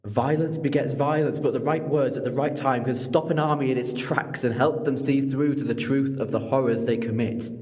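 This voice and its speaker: An actor playing a moral, commanding time-travelling alien hero in a sci-fi audio drama, with earnest, dramatic phrasing. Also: male voice, mid-range voice, steady tone, moderate pace, few pauses, darkish tone, lightly acted. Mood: solemn, reproachful. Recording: long reverberant hall, music behind.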